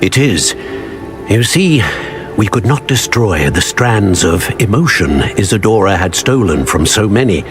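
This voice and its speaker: An actor playing a grave older wizard professor in a video game, solemn, measured and formal in his speech. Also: fairly low pitch, very animated, over music. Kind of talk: dramatic video game acting.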